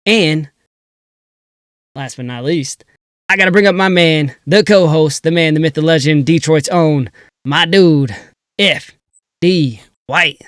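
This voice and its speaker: A man with a fairly high voice hosts a casual crypto livestream, talking with loud hype and easy banter. He is still a little congested after being sick. His voice sounds dry and close on a desk mic. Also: slow, pauses often, smooth, dry recording. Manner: hype, energetic greetings. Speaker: crypto show co-host.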